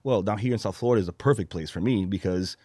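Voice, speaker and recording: A man's voice: lowish voice, fast, a little mellow, no room sound, studio quality. A man from Brazil, a comedian hosting a podcast, chatting casually and explaining at length in an informal way. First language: Portuguese. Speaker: podcast host and stand-up comedian.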